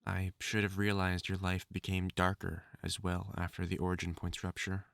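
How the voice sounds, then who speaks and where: A man's voice: low-pitched, completely flat delivery, normal speed, vocal fry, fairly bright tone, dry recording, clean studio sound. A man acting a lovesick, obsessive supervillain scientist with formal, theatrical diction in a dramatic audio roleplay monologue. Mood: sorrowful, apologetic. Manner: formal, theatrical diction, tender endearments breaking into anguished outbursts.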